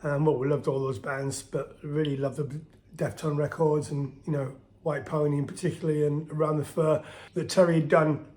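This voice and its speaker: A middle-aged man talks casually in a soft, slightly high voice. He rambles warmly through a long story, restarting sentences and leaning on fillers as he goes. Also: level tone, moderate pace. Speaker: band singer telling its history. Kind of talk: casual spoken storytelling to camera.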